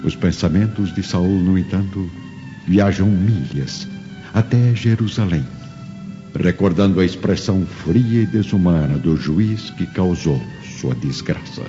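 Deep, mellow male dramatic narrator. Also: measured pace. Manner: solemn, theatrical. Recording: muffled audio with faint music.

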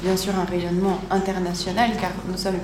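A woman's voice: lowish voice, flat delivery, fairly quick, darkish tone, close to an everyday voice. A woman playing a bureaucratic, very hesitant prefect at a mock press conference in a radio fiction. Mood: official, hesitant. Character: official female prefect, halting, defensive, bureaucratic. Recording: roomy echo with faint noise.

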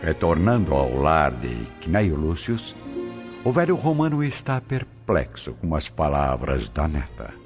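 A man's voice: extremely low pitch, very animated, unhurried. A man narrating a radio soap in a dramatic, scripted style. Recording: dull lo-fi, music behind.

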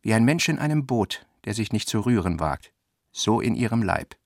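A man reading scripted literary narration for a radio production, with a creaky voice in a measured, reflective manner. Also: on the low side, big pitch swings, no room sound, clean studio sound.

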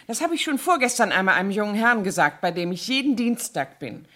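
A woman's voice: mid-range voice, very animated, fairly quick, fairly bright tone. An actress in a German radio drama playing a chatty, indiscreet cleaning lady, garrulous and self-righteous. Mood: gossipy, eager.

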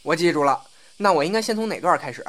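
A man's voice: on the high side, animated, quick pace, fairly bright tone. A man playing a polite but teasing young newcomer to a comedy troupe in an audio drama. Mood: curious, polite.

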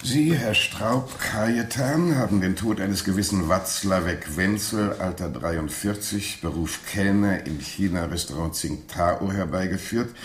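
A man playing a brisk, bureaucratic traffic police inspector in a German radio play, talking in stiff official jargon. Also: low-pitched, reverberant. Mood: officious, insistent.

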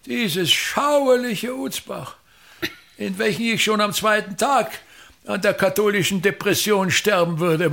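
Pompous, gravelly theatre tyrant. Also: male voice, high voice, a bit bright, heavily acted. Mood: bitterly ranting. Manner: declamatory, contemptuous, repeating words with grand emphasis. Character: pompous, bitter, ranting old actor-manager of a touring theatre. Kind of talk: theatrical German-language radio play monologue.

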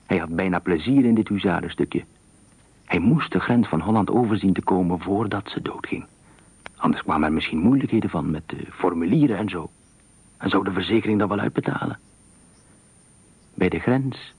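A man narrating a literary radio thriller story in a measured, expressive storytelling manner. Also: sits low, husky, no echo.

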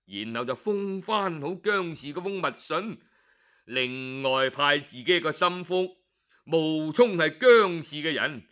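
Theatrical, slow-paced Cantonese male storyteller. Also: a little high, animated, lo-fi sound. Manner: theatrical, addresses listeners directly. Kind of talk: dramatic traditional oral storytelling.

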